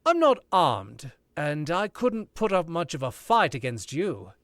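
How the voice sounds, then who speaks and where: A man's voice: a little high, huge pitch swings, fairly bright tone, no echo, exaggerated voice. A man playing a suave, ironic sleuth-author. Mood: coolly menacing. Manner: cool, measured, ironic. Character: suave, probing older male author. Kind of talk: 1940s radio acting.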